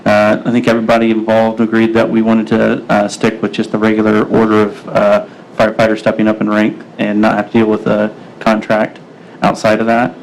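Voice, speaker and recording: A man's voice: lowish voice, monotone, brisk, gravelly. Informal man chairing meeting, noisy room. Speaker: mayor chairing a city meeting. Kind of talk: procedural public meeting talk. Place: indoor city council meeting room.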